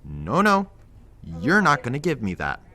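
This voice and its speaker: A blunt young friend handing out tough love, lecturing firmly in an audio drama. His voice is bright and forceful, with pitch that moves a lot. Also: wildly swooping pitch, a little slow, full character voice. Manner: firm, lecturing.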